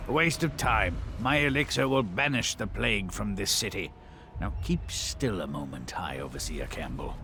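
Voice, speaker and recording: An actor playing an arrogant, irritable painter and inventor in a video game, pompous and dismissive. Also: male voice, fairly low pitch, animated, normal speed, sharp tone, a little hiss or hum. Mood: haughty, impatient.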